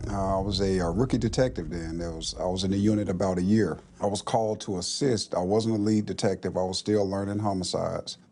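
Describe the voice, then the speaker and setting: Male police investigator, middle-aged, soft dark tone, matter-of-fact interview answers. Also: sits low, fairly even intonation, fairly quick, hardly any pauses. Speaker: police lieutenant, homicide investigator.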